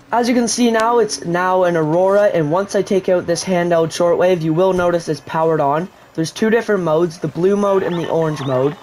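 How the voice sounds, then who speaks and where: A man's voice: a little high, darkish tone, a little hiss or hum. A man narrating a video game tutorial, explaining step by step how to use an item. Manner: explanatory, walks viewers through steps. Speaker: gaming YouTuber. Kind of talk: gameplay tutorial commentary.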